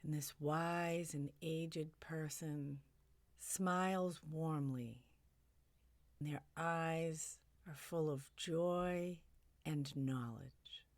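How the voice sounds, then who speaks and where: A low-voiced woman narrates a guided meditation in a close, dry recording. She offers soothing, gentle suggestions at a very unhurried pace, leaving long pauses between phrases. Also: no echo.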